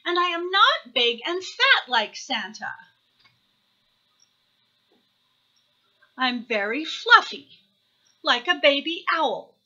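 A little storybook baby owl, acted out by a woman, earnest and easily indignant. The voice is high and sharply bright, swinging widely as it protests with stretched, stressed words. The recording is slightly dull. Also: very animated, unhurried, exaggerated voice.

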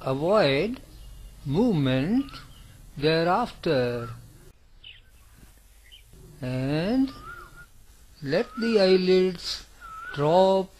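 A man leading a guided meditation, speaking in short, deliberate phrases separated by long pauses. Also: sits high, sing-song, a little mellow, a little hiss or hum. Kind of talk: slow guided yoga nidra meditation narration.

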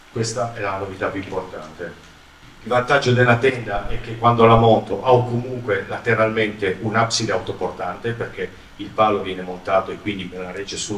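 A man in his fifties from Italy giving a product training presentation in a conversational, explanatory manner, checking in with his listeners, speaking into a handheld microphone in a large conference room. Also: on the low side. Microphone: handheld microphone, recorded by a distant camera. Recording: roomy, distant, noisy conference-room capture.

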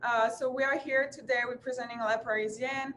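A woman's voice: a little high, steady tone, brisk, fairly bright tone, a little hiss or hum. A young woman with a French accent introducing her family bakery in a kitchen, chatty with many fillers. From France. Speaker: bakery representative. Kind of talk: live cooking demo presentation.

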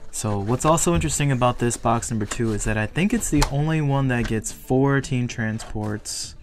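A man casually commenting on an unboxing of collectible toy cars, speaking with eager excitement about value. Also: mid-range voice, moderate pace, background noise. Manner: enthusiastic, speculative collector talk. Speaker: toy car collector vlogger.

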